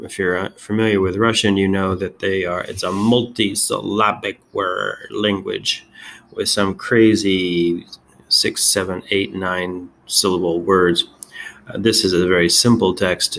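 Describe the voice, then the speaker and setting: A man giving a casual software demonstration as a tech video host, halting and thinking aloud as he goes. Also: low-pitched, vocal fry, a little hiss or hum.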